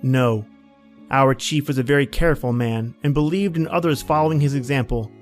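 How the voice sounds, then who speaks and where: A man's voice: mid-range voice, moderate pace, natural voice. Actor as plain-spoken man narrating, music underneath. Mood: reflective. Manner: folksy, conversational storytelling. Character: plain-spoken man at a snowbound outpost, narrating his story. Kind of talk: old-time radio drama acting.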